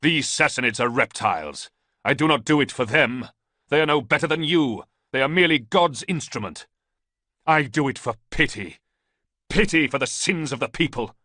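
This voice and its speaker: A man voicing a bearded, fanatical male priest who chants prophecy in a booming voice. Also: animated, normal speed, bright, forceful tone, no echo, clean studio sound. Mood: calm zealous.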